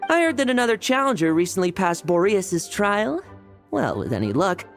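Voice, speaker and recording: A woman's voice: mid-range voice, big pitch swings, normal speed, soft background music. A woman playing a breezy, polite, self-assured young knight messenger in an anime game. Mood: determined. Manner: casual, polite.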